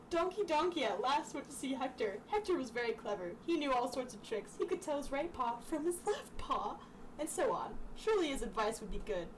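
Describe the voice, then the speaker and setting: A woman's voice: sits high, sing-song, moderate pace. A young woman reading aloud in a casual, chatty way, breaking off into giggly asides. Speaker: amateur vlogger reading childhood books aloud. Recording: distant mic with clear background noise.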